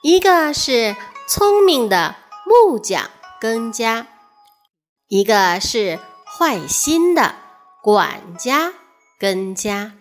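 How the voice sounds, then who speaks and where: Slow, expressive woman telling a fairy tale. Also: on the high side, faint music behind. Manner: measured, expressive storytelling. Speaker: storyteller. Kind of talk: scripted children's fairy-tale narration.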